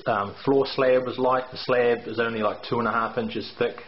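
A man's voice: medium pitch, steady tone, moderate pace. A middle-aged man giving a video tour of a house under renovation, explaining construction details in a hesitant way with many fillers, filmed on a handheld camera in a bare basement. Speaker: renovation contractor. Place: bare brick basement, indoors. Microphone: handheld camera mic. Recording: echoey, dull lo-fi with faint hiss.

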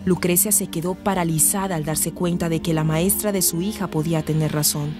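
A woman's voice: lowish voice, fairly even intonation, brisk, close to an everyday voice. A woman narrating a serious radio soap opera from a script. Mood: serious storytelling. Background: music.

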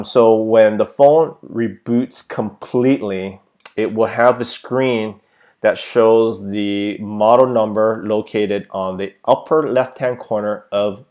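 A man's voice: fairly low pitch, fairly even intonation, slow, darkish tone. A man giving a plain, methodical step-by-step how-to narration, with frequent filler sounds, as a tech tutorial presenter.

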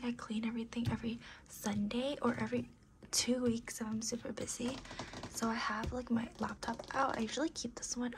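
A young woman losing her voice, whispering raspily in a casual, rambling ASMR desk tour, with lots of fillers. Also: fairly high pitch, background noise. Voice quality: raspy. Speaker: ASMR creator.

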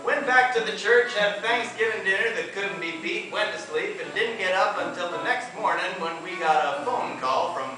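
A man's voice: sits high, normal speed, hardly any pauses. Young man drawling a story over guitar. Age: young adult. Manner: drawling, deadpan storytelling with long lists. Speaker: student performer. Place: theater stage, live audience. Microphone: far camera. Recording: echoey theater from far off. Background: acoustic guitar strumming.

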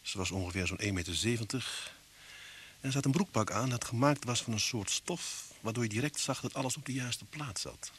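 An actor playing a steady, genial police inspector in a radio drama. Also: male voice, mid-range voice, a little fast, natural voice. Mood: narrating. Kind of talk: radio drama acting and narration. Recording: old hissy radio recording.

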